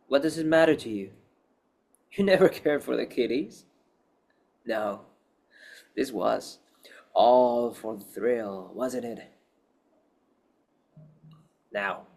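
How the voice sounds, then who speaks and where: A man playing a smug, sadistic office worker in his twenties in a crime drama read, mocking his captor with drawn-out taunts. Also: medium pitch, a little mellow.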